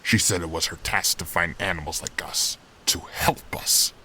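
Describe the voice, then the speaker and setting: Man acting as deep, earnest old animal uncle. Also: moderate pace, bright, forceful tone, slight background noise, heavily acted. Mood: patient, sincere. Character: older male animal uncle, earnest, hopeful, weathered mentor. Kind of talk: dramatic fandub dialogue.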